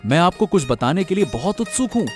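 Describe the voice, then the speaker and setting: A man's voice: sits high, big pitch swings, quick pace, a little mellow. A man voicing a scheming, fawning village troublemaker in a cartoon moral story, with a wheedling manner. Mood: eager, sly. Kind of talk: animated moral story dialogue in Hindi. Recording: music behind the voice.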